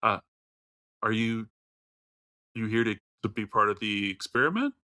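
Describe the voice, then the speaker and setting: A man voicing a timid, friendly young male alien prisoner in a tabletop game, halting and stammering as he talks. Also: lowish voice, animated, pushed and bright, dry recording, clean studio sound. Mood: uncertain, agreeable.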